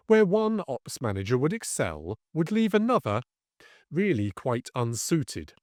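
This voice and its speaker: A man giving a scripted educational presentation as a career coach, speaking in deliberate, emphatic short phrases and lists. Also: mid-range voice, dry recording, studio quality.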